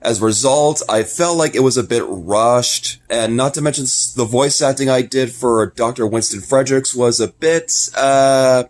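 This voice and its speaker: A man's voice: medium pitch, normal speed, few pauses. A man speaking casually to viewers as an amateur creator making an announcement. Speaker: amateur video creator and voice actor. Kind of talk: casual scripted-feeling vlog announcement.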